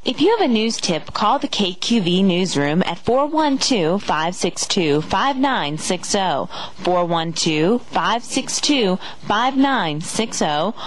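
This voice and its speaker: A woman's voice: fairly low pitch, big pitch swings, takes it slowly. A woman reading a scripted radio station announcement.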